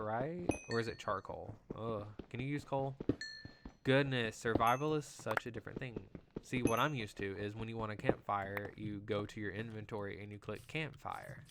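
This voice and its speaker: A nasal-voiced man, still recovering from an illness, chatters through a game stream in an easygoing, excitable way. He sits at a middle pitch and fills his talk with "like" and "you know". Loud background noise runs under his voice the whole time. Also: normal speed. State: just getting over an illness. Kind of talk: casual live gameplay commentary.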